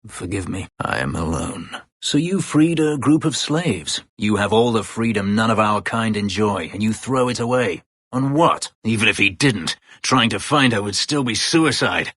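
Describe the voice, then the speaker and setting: An actor playing a brooding, bitter escaped-slave elf warrior in a fantasy video game, terse, guarded and drily sardonic, with a gravelly voice. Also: male voice, expressive, normal speed, fairly bright tone, no echo. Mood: sorrowful, then agitated, angry. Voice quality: gravelly, husky low voice. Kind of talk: dramatic fantasy video game character dialogue.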